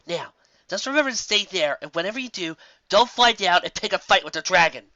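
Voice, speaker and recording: Someone voicing a bossy, bright-toned unicorn pony. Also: mid-range voice, animated, a little fast, no echo, dull audio, pitched above the performer's own voice. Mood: stern. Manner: precise, scolding, instructing. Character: bossy, earnest, easily exasperated female unicorn pony.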